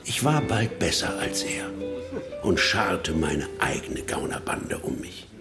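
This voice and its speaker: A man playing a burglar who recounts his criminal career in a radio play, in measured, literary first-person narration. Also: sits low, big pitch swings, full character voice. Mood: matter-of-fact. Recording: loud guitar music under voice. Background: guitar music.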